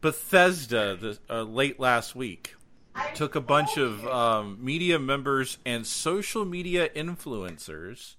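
A man hosts a casual gaming podcast in a sharp, bright voice at an average pitch. His talk is slangy and excitable, and he doubles up words to stress them. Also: slow pace, a little hiss or hum. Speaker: podcast host and game streamer.

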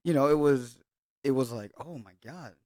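A man's voice: mid-range voice, moderate pace, a little mellow, no echo, clean studio sound. A young man from Hawaii, a guest telling his story reflectively in casual podcast chat, with many fillers.

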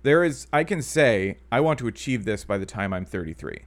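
Animated man in his thirties. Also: on the low side, a little fast. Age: 30s. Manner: rambling, hedging with fillers and restarts. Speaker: podcast co-host. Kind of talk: casual chat.

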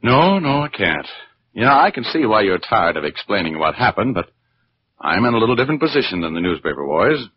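An actor playing a brash, stubborn wisecracking private detective in a 1940s radio drama. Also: male voice, fairly low pitch, very animated, fairly quick. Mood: insistent. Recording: muffled, noisy 1940s radio recording.

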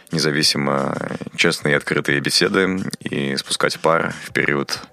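A man hosting a podcast, speaking in a measured, earnest way while getting over a cold. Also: a bass voice, creaky, sharp tone, no echo. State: recovering from a cold. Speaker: podcast host. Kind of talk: podcast intro and interview questions.

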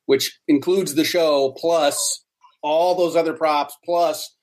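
A man's voice: a little high, fairly even intonation, slow pace. A man talking as a guest in a casual interview, explaining details.

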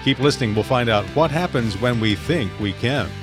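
A man's voice: mid-range voice, lively intonation, brisk. Polished announcer man over guitar. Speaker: show announcer. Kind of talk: scripted intro. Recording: clear guitar music behind.

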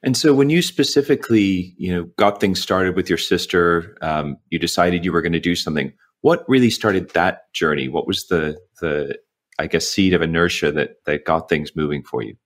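Deep-voiced male event host. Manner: scripted introduction, then halting questions. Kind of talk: virtual event interview.

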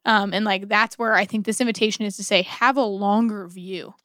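A woman's voice: mid-range voice, steady tone, a little fast, a bit bright, dry recording, clean studio sound. A woman co-hosting a podcast, reflecting on religious ideas conversationally, with frequent use of "like". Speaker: podcast co-host. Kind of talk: casual religious discussion.

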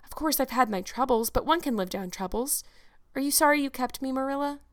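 Woman voicing an eager, high-voiced, imaginative young girl. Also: brisk, close to an everyday voice. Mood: wistful, happy. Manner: effusive, dramatic, breathless storytelling.

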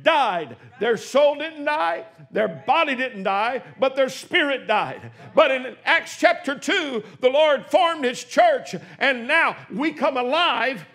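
A man in his sixties preaching a sermon in a church, swinging from hushed, quick asides to shouted exclamations. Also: extremely high pitch, animated. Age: 60s. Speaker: pastor. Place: church sanctuary.

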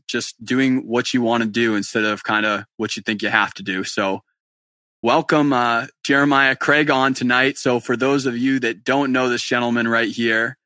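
A podcast host from New England chats with his guest in an easygoing, pumped-up way. His voice sits in a middle pitch with a bright, forceful edge. The sound is dry, with no room around it. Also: dry recording. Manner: upbeat, chummy, loose and enthusiastic. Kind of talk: casual live-stream interview chat.

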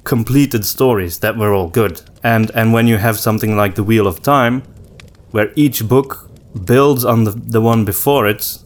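A man co-hosting a podcast in casual conversation, with hesitant, halting phrasing and repeated words. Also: fairly low pitch. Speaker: podcast co-host and story writer.

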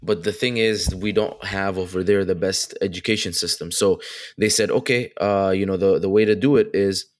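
A young man from Egypt and Dubai, an interview guest telling his story, energetic and chatty with many fillers. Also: sits low, normal speed. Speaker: guest, online seller. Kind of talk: casual interview chat.

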